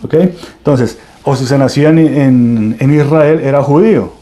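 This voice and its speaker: Colombian man preaching, mellow voice. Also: medium pitch, normal speed. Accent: Colombian Spanish. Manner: preaching with emphatic swells and frequent restarts. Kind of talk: sermon.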